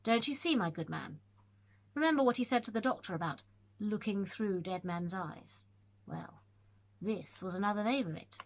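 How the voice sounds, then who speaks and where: A woman voicing a gruff older country squire who speaks bluntly, with impatience and dry sarcasm. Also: mid-range voice, animated, normal speed. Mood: grim, sardonic. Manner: brusque, sardonic.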